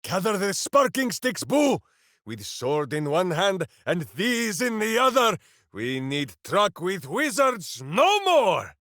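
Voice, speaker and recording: A hearty berserker hero for a video game, simple-minded and boastful. He declaims slowly and theatrically, swinging widely in pitch. The sound is close and dry. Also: a bit bright, no room sound, exaggerated voice. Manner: bombastic, theatrical, speaks of himself in third person. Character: hearty, simple-minded, boastful heroic berserker warrior devoted to his hamster. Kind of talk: video game character voice lines.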